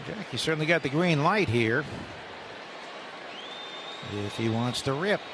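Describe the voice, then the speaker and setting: A man doing radio play-by-play of a baseball game, rising sharply in pitch when calling live action. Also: animated, a little slow. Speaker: play-by-play announcer. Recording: radio broadcast with loud ballpark noise.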